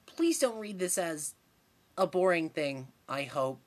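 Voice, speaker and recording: A woman voicing a meek, politely apologetic young male troll, halting and unsure, in an amateur fandub. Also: fairly low pitch, expressive. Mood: timid. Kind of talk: amateur fandub audition acting.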